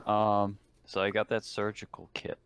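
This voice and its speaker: A man's voice: on the low side, completely flat delivery, moderate pace, heavy background noise. A man playing a tabletop game, asking questions in casual chat.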